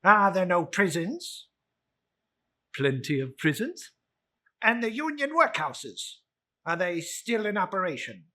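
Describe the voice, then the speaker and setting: A man's voice: high-pitched, sing-song, slow pace, pauses often, sharp tone, pitched above the performer's own voice, heavily acted. A man playing a cold, miserly old businessman in a storybook reading, curt and scornful. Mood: cold, sarcastic.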